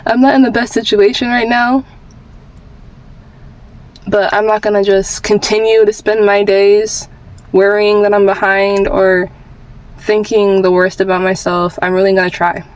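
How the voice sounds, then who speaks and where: A woman's voice: medium pitch, flat delivery, unhurried, pauses often, rough recording. A twenty-year-old woman vlogging with candid personal advice in an informal, rambling, earnest way, repeating phrases for emphasis.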